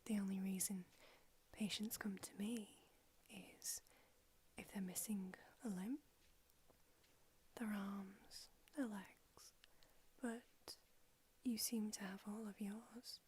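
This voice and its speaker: A woman's voice: mid-range voice, fairly even intonation, slow, pauses often, a little mellow, slight background noise, close to an everyday voice. A woman whispering in an ASMR roleplay, voicing a brusque, haughty old female vampire doctor with a dry manner. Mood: whispery, brusque.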